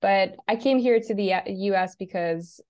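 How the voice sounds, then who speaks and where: A woman's voice: mid-range voice, moderate pace, a little mellow. A young woman with an Italian accent, a graduate student from Veneto, answering interview questions in a friendly way with some hesitations. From Veneto, Italy. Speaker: guest, graduate student and teacher.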